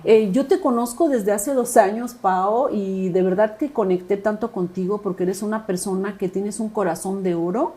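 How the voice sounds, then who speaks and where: A woman's voice: medium pitch, fairly even intonation, moderate pace, few pauses, dark tone. A woman hosting a podcast interview. She keeps the guest talking with affirming murmurs and filler words. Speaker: podcast host.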